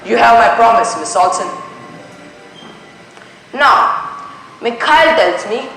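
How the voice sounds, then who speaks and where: A teenage student acting a composed, methodical detective in a stage play, speaking formally and deliberately. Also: mid-range voice, animated, fairly bright tone, a little hiss or hum. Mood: composed, probing. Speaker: student actor in a school play. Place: school stage, indoors. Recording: very echoey school stage, music behind.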